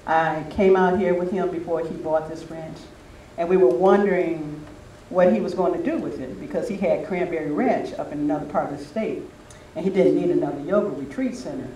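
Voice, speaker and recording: High-voiced older man in a roomy space. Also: brisk, hardly any pauses, warm tone, highs cut off. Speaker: speaker at a spiritual retreat. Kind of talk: informal anecdotal talk to a gathering. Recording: roomy, distant mic with faint noise.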